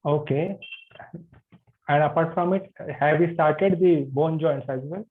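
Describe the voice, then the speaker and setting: A man's voice: fairly high pitch, unhurried. Man, Indian accent, yoga anatomy teacher, tinny video call audio, friendly questions. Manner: friendly, asks students questions. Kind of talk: online class teaching.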